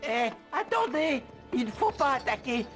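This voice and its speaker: Cartoon casting: young boy squire, high voice, anxious, eager, excitable. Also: moderate pace, soft background music. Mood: urgent, flustered. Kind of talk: French-language cartoon dubbing.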